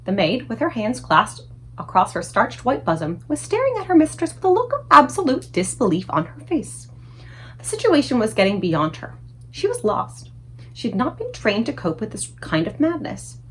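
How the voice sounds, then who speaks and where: A woman reading a children's book aloud as an expressive storyteller. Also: a little high, moderate pace, slight background noise, rough recording.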